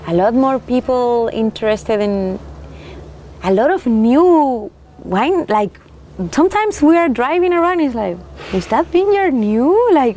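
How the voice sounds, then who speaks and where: Young Chilean woman, slow and halting, laughs softly, faint room noise. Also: fairly high pitch. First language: Spanish. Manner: unhurried, halting, frequent soft laughs. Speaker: winemaker, interviewee. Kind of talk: oral history interview.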